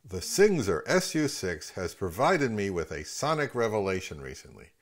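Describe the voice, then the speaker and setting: A man's voice: fairly low pitch, big pitch swings, normal speed. A man in his fifties giving scripted commentary on products as the host of a review channel.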